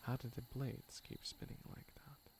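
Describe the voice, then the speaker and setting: A man playing a centuries-old skeleton demon lord in an ASMR roleplay, soft-spoken and partly whispering, hesitant and curious, with old-fashioned formal phrasing. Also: mid-range voice, flat delivery, measured pace, lightly acted. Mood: curious, puzzled.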